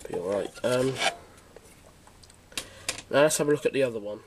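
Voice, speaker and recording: A man's voice: medium pitch, moderate pace. A man presenting a casual collection vlog at home, talking into a camera microphone. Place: small cluttered room at home. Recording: noisy home camera audio.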